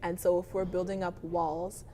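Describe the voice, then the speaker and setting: A woman from a family from Nigeria, giving thoughtful, measured interview answers. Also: on the low side, flat delivery, mellow, slight background noise.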